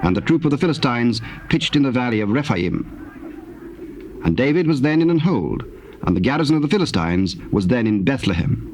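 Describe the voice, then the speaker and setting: A man narrating scripture from the King James Bible in a formal, measured storytelling manner. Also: medium pitch, big pitch swings, a little mellow. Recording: old cassette tape, faint background noise.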